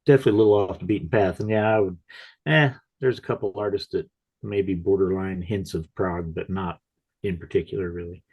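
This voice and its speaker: A man's voice: low-pitched, fairly even intonation, brisk, breathy edge, lo-fi sound. A man co-hosting a casual music chat, tired from no sleep, with dry, self-mocking humor. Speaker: co-host.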